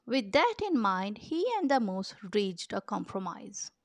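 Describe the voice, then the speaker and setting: Slow-paced woman reading a storybook. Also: medium pitch, very animated, no room sound, clean studio sound. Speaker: children's picture book reader. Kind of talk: storybook read-aloud narration.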